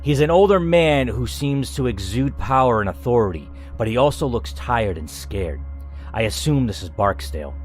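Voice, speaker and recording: A man's voice: medium pitch, animated, moderate pace. A man reading an interactive story aloud as a let's player, dramatic, with casual asides. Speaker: video game let's player and narrator. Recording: music under the voice. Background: music.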